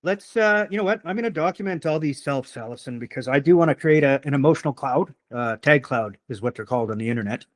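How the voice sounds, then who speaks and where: A man's voice: mid-range voice, lively intonation, quick pace, few pauses, no room sound. A man hosting a podcast in casual conversation, rambling and swearing often, with a slight rural twang. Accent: slight rural Canadian twang. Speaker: podcast host.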